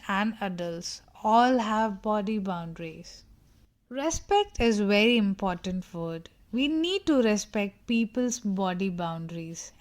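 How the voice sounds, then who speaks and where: A woman reading a picture book aloud to young children in an instructive way, putting questions to her listeners. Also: mid-range voice.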